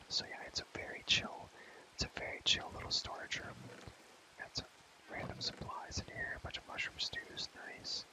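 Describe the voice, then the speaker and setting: Young male ASMR creator for gaming commentary. Whispers throughout, rambles casually in slang, softly repeats himself. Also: low-quality recording.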